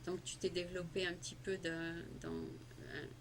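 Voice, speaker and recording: A woman interviewer with a slightly low voice keeps the talk moving, prompting and completing her guest's sentences. Steady background noise sits under the recording. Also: normal speed, gravelly. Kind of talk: casual conversational interview. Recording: noisy background.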